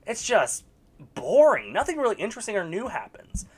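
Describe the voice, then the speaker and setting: Young adult reviewer, mid-pitched voice that swings widely, sarcastic with blunt verdicts; suits scripted critique. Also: wildly swooping pitch, moderate pace, slightly rough. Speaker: video reviewer. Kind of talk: scripted movie review commentary.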